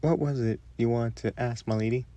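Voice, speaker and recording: A man playing a polite, gentle young prince in a romantic fantasy audio roleplay, speaking formally and a little hesitantly. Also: medium pitch, steady tone, fairly quick, dry recording, natural voice. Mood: polite, curious. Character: young prince, courteous, gentle, shyly curious royal.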